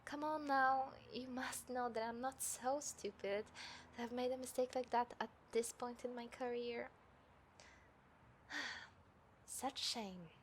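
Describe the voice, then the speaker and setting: A woman playing a taunting, confident serial killer in a scripted audio roleplay, speaking to the listener with smug, deliberate menace. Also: a little high, a little hiss or hum. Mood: scornful, disappointed. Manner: smug, menacing, deliberate, dropping into breathy whispers. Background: city ambience sound effects.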